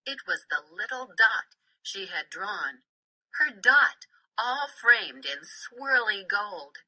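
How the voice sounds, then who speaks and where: A woman reading a children's storybook aloud in a deliberate, expressive way. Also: medium pitch, rough recording. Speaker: storybook reader. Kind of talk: scripted storybook narration.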